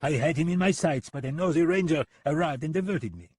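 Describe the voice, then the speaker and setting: A man's voice: brisk, a little mellow, husky. A man doing a smug hired killer. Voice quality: high, haughty put-on voice. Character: smug foreign male hired killer, mocking and arrogant.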